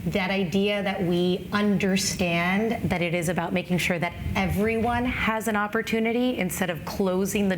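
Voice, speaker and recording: A woman's voice: mid-range voice, background noise. A woman giving earnest, confident answers as a guest in an interview. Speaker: panel guest.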